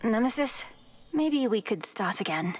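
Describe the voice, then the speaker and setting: A woman playing an earnest young underworld princess in a video game, speaking in a formal, measured way. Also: fairly high pitch, big pitch swings, soft background music. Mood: gentle.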